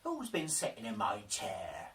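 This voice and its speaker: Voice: mid-range voice, wildly swooping pitch, a little slow, fairly bright tone, recorded from a distance, slight background noise, pitched below the performer's own voice. Storyteller putting on exclaiming, swooping little dwarf voices. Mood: indignant puzzlement. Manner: exclamatory, questioning. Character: seven little dwarf men, indignant, curious, easily astonished.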